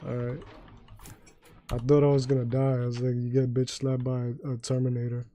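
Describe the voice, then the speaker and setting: A young man with a deep voice chatting loosely and profanely while streaming a game and taking chat requests. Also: completely flat delivery, moderate pace, dark tone. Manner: loose, profane, joking, sings badly on request. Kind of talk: casual gaming livestream chat.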